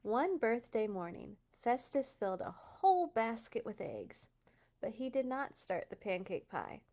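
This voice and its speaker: Female storytime host, medium pitch, informal read-aloud for kids, chatty asides. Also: darkish tone. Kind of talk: casual read-aloud for children.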